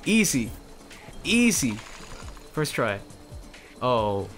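A man's voice: medium pitch, very animated, drawn-out words, a bit bright. Man, native spanish speaker, teasing, explains the game, faint music. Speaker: co-host. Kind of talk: casual gaming chat.

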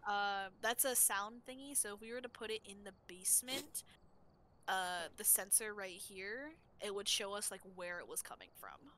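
A woman's voice: medium pitch, moderate pace, bright, forceful tone, a little hiss or hum. Woman explaining game gear casually. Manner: helpful, explains game tools. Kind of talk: casual co-op gaming chat.

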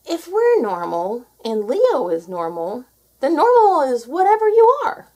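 Woman playing an earnest little bunny, high-pitched. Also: huge pitch swings, a little slow, dark tone, exaggerated voice. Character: fretful, earnest little bunnies. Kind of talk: children's storybook read aloud.

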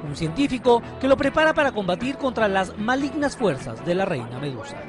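A man's voice: high-pitched, sing-song, a little fast. A man narrating a scripted television feature as a reporter, in a dramatic storytelling manner. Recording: studio voice-over with loud music bed. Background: loud music.

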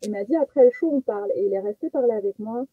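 Young woman, husky, animated casual anecdotes, self-mocking, dry close headset, narrow phone-like video call. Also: medium pitch, quick pace, no echo. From French Guiana. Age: young adult. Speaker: guest stand-up comedian. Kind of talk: casual chat, telling personal anecdotes. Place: small attic bedroom.

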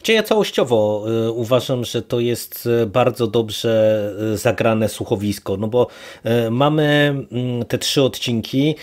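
A man's voice: medium pitch, expressive, measured pace. A man co-hosting a podcast, discussing a review casually in wordy, hesitant, circling phrases. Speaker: podcast co-host.

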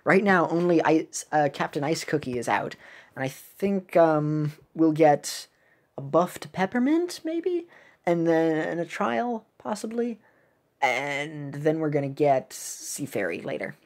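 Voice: mid-range voice, sing-song, measured pace. A streamer with a mid-range voice of unclear gender gives casual commentary on a game. The talk rambles and halts, often as if thinking aloud to themselves.

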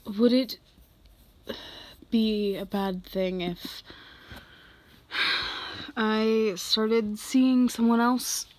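A woman playing a reserved office boss who is guarded but softening, speaking haltingly in an intimate ASMR roleplay. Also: fairly high pitch, steady tone, no room sound. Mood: confiding, hesitant. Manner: halting, measured delivery with sighs.

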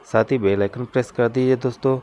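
A man's voice: mid-range voice, fairly even intonation, fast talker, lo-fi sound, a little hiss or hum. An Indian-accented man giving an informal how-to narration as a mechanic and channel host, often addressing viewers as friends. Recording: loud music behind the voice. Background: loud music.

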